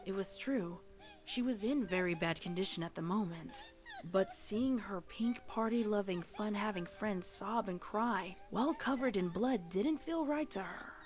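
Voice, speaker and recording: A woman's voice: mid-range voice, expressive, a little slow, a little mellow. A woman reading scripted fan fiction narration, with music playing behind her.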